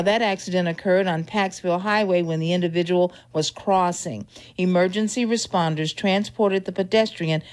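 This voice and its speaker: A woman reading local news updates on radio, in a brisk, even way. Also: fairly low pitch. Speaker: news reader.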